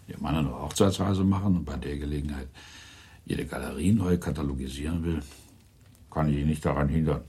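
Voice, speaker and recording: An older man playing a resigned father and husband in a German radio play, husky, deliberate and weary. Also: a bass voice, darkish tone, heavily acted. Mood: weary resignation.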